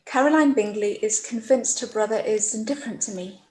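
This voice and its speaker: A woman playing a gentle, trusting young gentlewoman in a period radio play, mild and reasoning in formal speech. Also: on the high side, a little fast, close to an everyday voice. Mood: hurt, resigned. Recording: echoey room with clear background noise.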